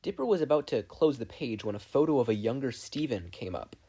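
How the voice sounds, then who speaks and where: A narrator reading a fan fiction story aloud in an expressive, scripted style. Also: mid-range voice, a little fast, breathy edge. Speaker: podfic narrator.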